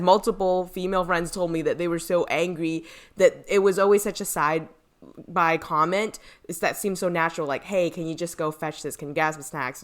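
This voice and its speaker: A woman with a slightly low voice talks as a podcast co-host. Her delivery is informal and opinionated, and she qualifies her thoughts as she goes in a loose, chatty style. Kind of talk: casual opinionated podcast chat.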